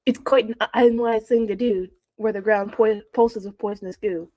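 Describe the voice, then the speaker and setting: Amused woman with a highish voice reading. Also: steady tone, normal speed, warm tone, no room sound. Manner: amused, giggly, wryly commenting. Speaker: co-reader in a fanfiction reading video. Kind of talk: casual mock-serious read-aloud with joking asides.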